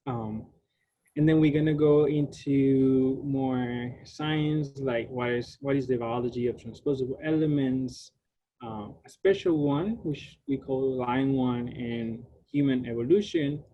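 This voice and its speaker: A man's voice: mid-range voice, muffled recording. A young man from Puerto Rico whose first language is Spanish, giving an online science talk with many fillers and restarts. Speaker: graduate student speaker. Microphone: video call.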